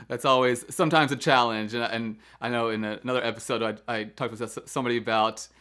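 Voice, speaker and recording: A man's voice: medium pitch, steady tone, a little fast, a bit bright. Man hosting a choral interview. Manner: eager, asks long rambling questions. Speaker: podcast host and music educator. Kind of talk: interview questions.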